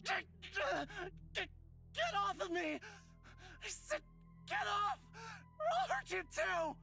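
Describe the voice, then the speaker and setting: A very high-pitched, hot-headed young character in a fantasy visual novel. The delivery is intense and spiteful, with volatile swings of temper. Faint music plays underneath. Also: female voice, very animated, slow pace, gravelly, bright, forceful tone, full character voice. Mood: enraged. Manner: stammering, intense, dramatic delivery.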